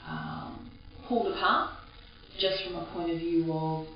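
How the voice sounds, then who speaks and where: A woman with an Australian accent, raised speaking Greek at home, recalling her childhood in a reflective, halting way. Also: on the low side, fairly even intonation, slight background noise. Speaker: interviewee. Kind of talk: personal reminiscence. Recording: echoey, distant, dull recording.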